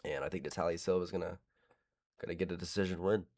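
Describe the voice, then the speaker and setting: A man's voice: sits low, steady tone, fast talker, a little mellow. A man hosting casual fight predictions, frank and rambling with casual swearing. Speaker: host.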